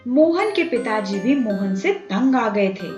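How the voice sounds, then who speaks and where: A woman narrating a scripted children's bedtime story in an expressive, measured way. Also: a little high. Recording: roomy, dulled audio with background music. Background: music.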